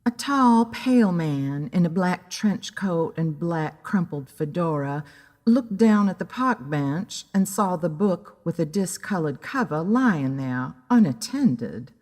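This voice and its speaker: A woman narrates a pulp tale in a deep female register. Her delivery is unhurried and swings widely in pitch. The sound carries a long reverb tail. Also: expressive, a little mellow. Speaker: story narrator. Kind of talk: scripted narration of a pulp story. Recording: long reverberant hall sound, faint music.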